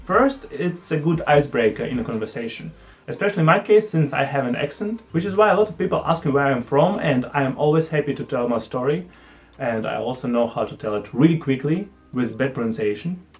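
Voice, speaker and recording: A young man, a native Ukrainian speaker with an accent, chatting casually to camera in his vlog with a self-deprecating, friendly manner. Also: mid-range voice. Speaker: vlogger. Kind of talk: casual talking-head vlog.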